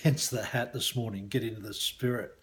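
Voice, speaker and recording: A man's voice: fairly low pitch, fairly even intonation, brisk. An Australian man, a mindset coach, talking casually to viewers in a matey, colloquial way. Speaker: business mindset coach and hypnosis practitioner. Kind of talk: casual chatty video talk to viewers.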